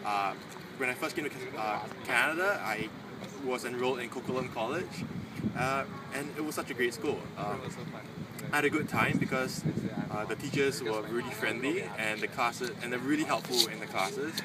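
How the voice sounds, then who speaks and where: A young man in his twenties from Singapore gives a halting, friendly testimonial outdoors, filling gaps with "uh". He sits a few meters from the camera mic, so he sounds distant. Loud traffic and street ambience run under his voice. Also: mid-range voice. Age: 20s. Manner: hesitant with frequent uh fillers. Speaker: former student giving a testimonial. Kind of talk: casual spoken testimonial to camera. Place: outdoors in front of a campus building. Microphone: camera mic from a few meters away.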